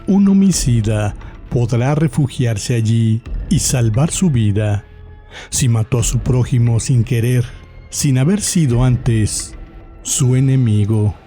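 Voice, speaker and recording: Man acting a slow, solemn scripture reader. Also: medium pitch, mellow, close to an everyday voice. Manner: measured, grave. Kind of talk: solemn scripture reading in an audio drama. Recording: music behind.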